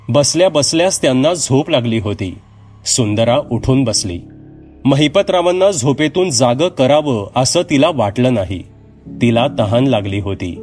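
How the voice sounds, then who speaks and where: A man's voice: medium pitch, a little mellow. A man narrating a scripted horror story as a storyteller, with music behind him. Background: background music.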